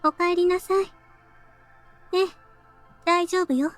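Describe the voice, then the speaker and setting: A woman playing a quiet, weary, affectionate young woman in a visual novel, speaking softly and without hurry. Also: extremely high pitch, fairly even intonation, moderate pace. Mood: calm, gentle. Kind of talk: Japanese visual novel voice acting. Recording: background music under the voice.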